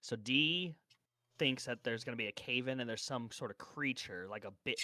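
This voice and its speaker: A man's voice: mid-range voice, normal speed, sharp tone, no echo, clean studio sound. A man casually improvising narration as a player in a tabletop roleplaying game.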